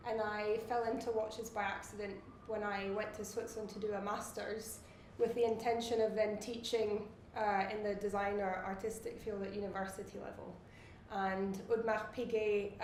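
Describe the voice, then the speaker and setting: Scottish woman designer, even monotone delivery, echoey room with faint hum of a crowd. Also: medium pitch, moderate pace. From Scotland. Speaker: watch designer, guest. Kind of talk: casual interview answers.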